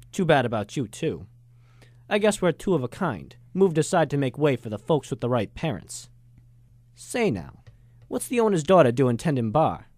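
A male actor as a hard-boiled American reporter in his thirties, with a very animated delivery and wisecracking slang. He narrates a noir radio drama in a dry, close recording. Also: mid-range voice, no room sound. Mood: wry, flirtatious. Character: wry, hard-boiled American ex-journalist of thirty-two in 1939.

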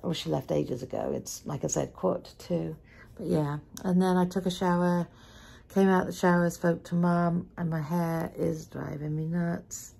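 A woman's voice: lowish voice, creaky, dark tone, slightly rough. A middle-aged woman chatting casually to viewers in a rambling way, recorded at home in a living room on a camera at arm's length. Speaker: vlogger.

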